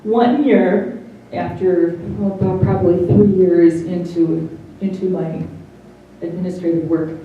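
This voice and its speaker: A middle-aged woman giving a personal testimony, speaking slowly and haltingly with carefully over-articulated consonants. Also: on the low side, fairly even intonation, narrow, tinny audio. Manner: slow and halting, many pauses. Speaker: interviewee. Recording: roomy, distant mic, faint hiss.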